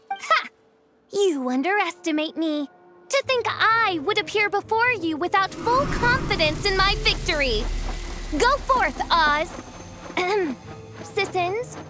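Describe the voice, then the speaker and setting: A woman's voice: extremely high pitch, heavily acted. A woman playing a theatrical, proud teenage girl mage in an anime game, declaiming in pompous, old-fashioned phrases. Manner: pompous, mock-archaic, declamatory. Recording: music behind the voice. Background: music.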